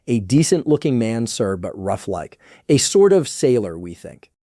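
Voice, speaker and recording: A man's voice: medium pitch, sing-song, moderate pace, mellow, no echo, studio quality, pitched above the performer's own voice. A man voicing a plain, earnest country gamekeeper who speaks respectfully to his master, for an audiobook. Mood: deferential, earnest. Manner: respectful, plain-spoken servant. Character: deferential rustic English head gamekeeper, plain and earnest. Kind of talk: audiobook character voice.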